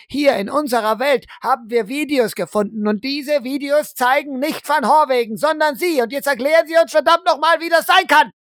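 A performer playing a stern, insistent interrogator in an audio drama, deliberate and emphatic. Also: mid-range voice, a bit bright, dry recording, studio quality, natural voice. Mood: angry. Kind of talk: dramatic audio play acting.

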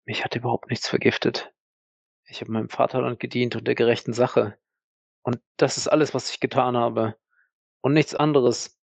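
A man's voice: medium pitch, flat delivery, vocal fry, no echo. A man playing a proud, defiant accused war veteran in an audio drama, indignant and emphatic. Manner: indignant, emphatic, halting when pressed. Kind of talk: dramatic audio play acting.

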